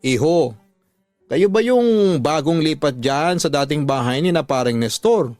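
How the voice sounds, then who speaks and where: A man's voice: a little high, animated, measured pace, darkish tone, dry recording. Man acting a friendly old villager over music. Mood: friendly, neighborly. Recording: music bed under dry studio voice.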